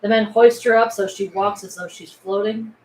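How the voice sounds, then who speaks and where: A woman's voice: medium pitch, steady tone, moderate pace, a little mellow. A woman reading stage directions aloud as scripted narration at a table read. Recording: echoey room, faint background noise.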